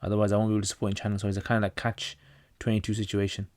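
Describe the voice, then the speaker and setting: A man's voice: lowish voice, completely flat delivery, rapid-fire, darkish tone, no echo. A man from North Pakistan, a native Pashto speaker, hosting a casual livestream Q&A. He reads out viewer questions and answers them plainly, often restarting his sentences.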